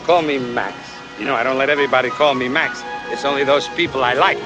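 An actor playing a bombastic, scheming middle-aged producer, theatrical and swinging between coaxing and bellowing in broad comic film style. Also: male voice, mid-range voice, quick pace, over music, lightly acted. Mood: genial, smooth.

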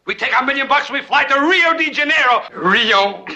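An actor playing a bombastic, scheming middle-aged producer, theatrical and swinging between coaxing and bellowing in broad comic film style. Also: male voice, fairly high pitch, lively intonation, normal speed, background noise, lightly acted. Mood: grandiose, coaxing.

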